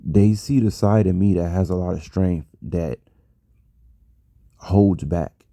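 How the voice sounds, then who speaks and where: An American man talks casually to his followers in a deep voice with a gravelly fry. He rambles and circles back on his phrases for emphasis. The sound is dry and close. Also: takes it slowly, dark tone, no room sound. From United States. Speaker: vlogger. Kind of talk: casual personal monologue to an audience.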